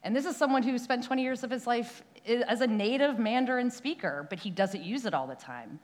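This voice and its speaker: A woman's voice: a little high, expressive, a little fast. A young woman preaching a church sermon in a conversational way, often asking "right?", with a prayer and a scripture reading. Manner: conversational, frequent tag "right?", some restarts.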